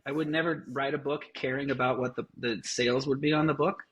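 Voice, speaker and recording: This is a man at an ordinary middle pitch, talking as a guest in an interview. His delivery is loose and energetic, with casual swearing and plenty of false starts. He sounds like he's thinking out loud as he goes. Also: a little fast, muffled recording. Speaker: guest, festival founder.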